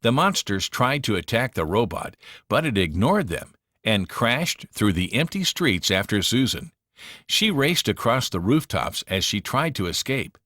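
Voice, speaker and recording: A man narrating a children's read-along storybook in an expressive, dramatic manner. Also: lowish voice, measured pace, no room sound, clean studio sound.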